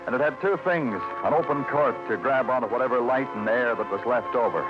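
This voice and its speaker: An actor playing a world-weary New York police detective in an old radio crime drama, speaking and narrating tersely with an American accent. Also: male voice, mid-range voice, brisk, natural voice. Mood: brooding. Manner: terse, hard-boiled, brooding delivery. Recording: dull old radio recording with prominent music.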